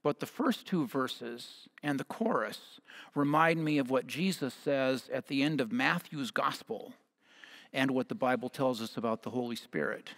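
An American man in his fifties preaching a sermon as a pastor, speaking in measured short phrases, partly reading from notes. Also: mid-range voice, a bit bright. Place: church sanctuary at a lectern. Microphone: headset mic.

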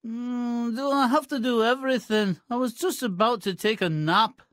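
A woman's voice: on the high side, moderate pace, dry recording, studio quality, close to an everyday voice. A woman voicing a lazy, cheeky young peasant boy in a fairy-tale video game, with a nonchalant manner. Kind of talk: video game character acting.

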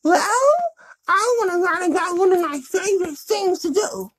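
Man doing a girl hand puppet, extremely high falsetto, sassy, blunt, playful, children's show. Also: moderate pace, fairly bright tone. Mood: cheerful.

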